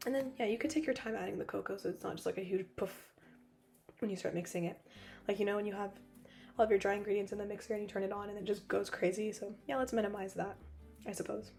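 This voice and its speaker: A young woman with a hoarse voice, still recovering from losing it, narrating a cooking video in a chatty, joking way with frequent laughs. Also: mid-range voice, fairly even intonation, quick pace, darkish tone, soft background music. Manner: chatty, joking, laughs often, rambling asides. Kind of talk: casual voice-over narration.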